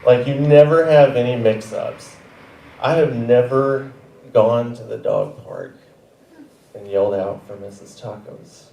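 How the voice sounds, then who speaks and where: Southern guy doing standup, rambly and deadpan, roomy theater sound picked up from back a ways, faint room hum. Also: medium pitch, unhurried, gravelly. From Arkansas, US South. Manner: laid-back, rambling, deadpan with self-corrections. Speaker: stand-up comedy student. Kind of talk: stand-up set. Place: comedy theater stage. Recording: roomy theater mic from a distance.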